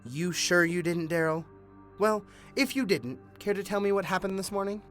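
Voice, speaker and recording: An actor playing a sarcastic detective who taunts a suspect in a mocking, drawn-out way. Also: male voice, sits high, a bit bright, soft background music. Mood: needling.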